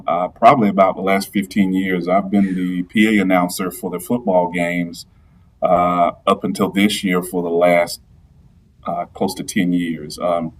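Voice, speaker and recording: Deep baritone man on a call. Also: distant mic. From Georgia, US. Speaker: guest, live streamer and football PA announcer. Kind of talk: casual interview chat.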